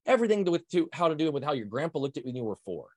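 A man speaking fast and loud, full of energy, as he explains ideas as a podcast guest. His voice sounds close and dry, with no room around it. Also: on the high side, sing-song, no echo. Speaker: guest, performance coach. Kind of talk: podcast interview, emphatic explaining.

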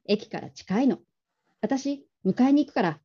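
Woman as a soft, husky, coaxing daughter. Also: a little high, expressive, unhurried. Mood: breezy. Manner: casual, familiar, wheedling. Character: coaxing, teasing grown daughter pleading with her father. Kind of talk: radio drama acting. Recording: dull lo-fi audio.

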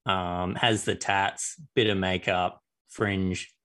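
Hesitant man, lowish voice. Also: fairly even intonation, takes it slowly, fairly bright tone. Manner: hesitant, repeats words. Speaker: podcast co-host. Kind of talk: casual chat.

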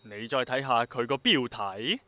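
A man's voice: mid-range voice, lively intonation, measured pace, pushed and bright, no echo. An actor with a Hong Kong Cantonese accent playing an earnest, dreamy young man who is obsessed with bringing back rain, in a radio drama. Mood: earnest, excited. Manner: passionate, persuasive, romantic-minded.

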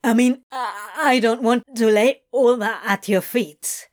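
A woman's voice: fairly high pitch, lightly acted. A woman voicing an awkward, laid-back male demon who stammers and trails off. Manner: halting, mumbling, stammering.

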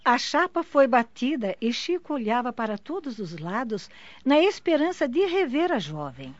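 A woman's voice: fairly high pitch, big pitch swings, moderate pace, no echo. A woman reading scripted narration for a radio drama. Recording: dull lo-fi, noisy background.

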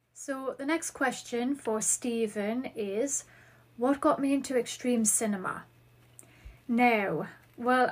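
A woman in her thirties speaks with a Geordie accent, her voice sitting a little high for a woman. She hosts a solo podcast in a chatty, upbeat way, working through questions sent in by listeners. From northeast England. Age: 30s. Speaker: podcast host.

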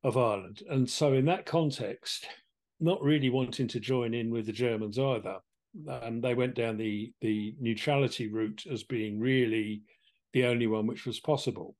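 Measured Englishman in discussion. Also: mid-range voice, steady tone, a little mellow. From England. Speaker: podcast co-host. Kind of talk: conversational discussion.

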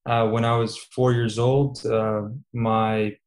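A man's voice: mid-range voice, slow. Young man, chatty interview guest. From Serbia, raised in Qatar. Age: 20s. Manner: relaxed, many fillers, laughs easily. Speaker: guest, engineering student. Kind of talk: casual interview chat.

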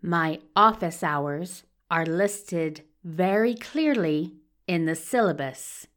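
Woman as male professor, lowish pitch, slow, stern, pedantic, curt, audio drama. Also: expressive, a bit bright. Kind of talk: audio fiction acting.

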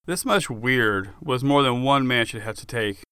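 A man's voice: medium pitch, animated, moderate pace, pushed and bright, clean tone, no room sound, clean studio sound. A man reading first-person fiction as an author-narrator, with dramatic storytelling delivery. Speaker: author narrating own audiobook.

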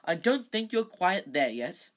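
A woman voicing a vain, jovial male teacher in a storybook, booming and self-satisfied. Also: very animated, a little slow, noisy background. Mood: paternal, smug.

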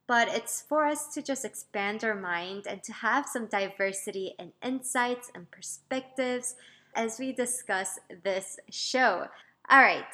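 A woman's voice: fairly high pitch, pushed and bright. An American woman hosting a podcast in an informal, chatty, encouraging way. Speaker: podcast host. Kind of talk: casual podcast chat.

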